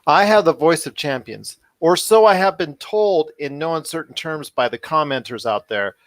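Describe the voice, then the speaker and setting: A man with a slightly high voice hosts a pop podcast in an upbeat, bantering way. He is wordy and circles back to repeat his phrases. The sound is close and dry. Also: lively intonation, normal speed, fairly bright tone, no room sound. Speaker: podcast host.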